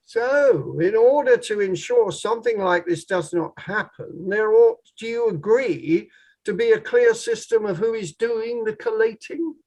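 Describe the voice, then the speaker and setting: A man playing a formal, probing barrister who questions in a measured way, in a table read of a courtroom play. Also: sits high, darkish tone, rough recording. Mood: pressing, formal. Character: probing male inquiry barrister, formal and methodical.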